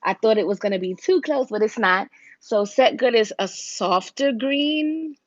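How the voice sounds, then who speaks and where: A woman with a raspy voice, casually talking viewers through a makeup look on a livestream, step by step. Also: on the high side, expressive, measured pace, dry recording, dull audio. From Virginia, US. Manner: chatty, talks viewers through each step. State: raspy, deeper voice than usual.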